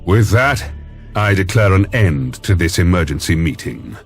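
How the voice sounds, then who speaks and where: Low-voiced, stern lord admiral. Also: male voice, animated. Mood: grave. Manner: authoritative, measured, formal. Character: commanding male lord admiral, stern, resolute and formal. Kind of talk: military briefing in a game cutscene. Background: faint music.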